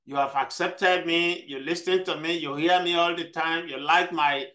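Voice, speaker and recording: A man's voice: a little high, fairly even intonation, moderate pace, bright, forceful tone. A man with a South Texas accent giving an emphatic motivational speech at a club meeting over a video call. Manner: emphatic, repeats key phrases.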